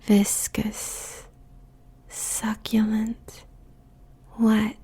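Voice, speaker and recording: A woman's voice: medium pitch, monotone, extremely slow, warm tone, husky, heavily acted. A woman playing a seductive, possessive demon succubus queen in a roleplay audio, whispering breathily and savouring her words with teasing sensuality. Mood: possessive, gloating.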